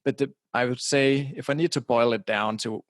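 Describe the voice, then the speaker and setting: A middle-aged Danish man, whose first language is Danish, speaks as a guest on an interview podcast. His voice has a mid pitch and a dry, close-miked sound. He talks casually and often stutters into restarts. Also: steady tone, moderate pace, no echo. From Denmark. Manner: casual, repeats words at the start of phrases, laughs now and then. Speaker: guest, website publisher. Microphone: directional podcasting mic close to mouth.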